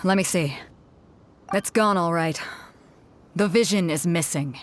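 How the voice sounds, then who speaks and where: A woman playing a steady, practical woman. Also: on the low side, measured pace, a little hiss or hum, close to an everyday voice. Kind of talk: video game voice acting.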